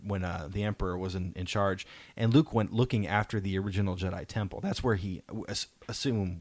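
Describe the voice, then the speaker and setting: A man with a fairly low voice talks as a podcast host in a casual fan discussion. He is keen and emphatic, often checking that listeners follow. The sound is dry, with very little room on it. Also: dry recording. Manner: enthusiastic, emphatic, seeking agreement.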